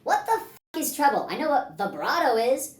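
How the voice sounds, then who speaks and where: A man doing a goofy high falsetto singer. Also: huge pitch swings, moderate pace, full character voice. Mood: silly. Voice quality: high falsetto, sing-song. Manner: silly, breaking off laughing. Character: goofy man singing a silly song in falsetto. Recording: roomy echo.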